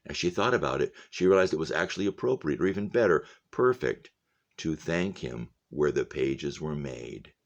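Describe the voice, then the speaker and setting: A man reading a novel aloud like a teacher to a class, deliberately and expressively, letting phrase endings drop to a hush. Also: a bass voice, expressive, vocal fry, lo-fi sound. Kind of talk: expressive audiobook-style reading with casual spoken commentary.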